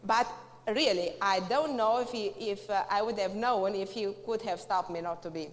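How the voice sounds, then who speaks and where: A woman from Romania with a Romanian accent, speaking English haltingly to a seminar audience, pausing often for an interpreter, with many fillers and restarts. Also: medium pitch. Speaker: guest speaker at a business seminar. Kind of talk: speech delivered through a live interpreter. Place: large hall. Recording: long reverb of a large hall.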